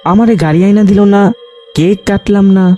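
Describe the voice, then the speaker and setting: A man's voice: high voice, fairly quick, mellow, soft background music, voice pushed up, natural voice. A man voicing a hungry little boy who whines questions to his mother in a cartoon. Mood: plaintive. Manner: whiny, childlike questioning. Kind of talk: Bengali cartoon voice acting.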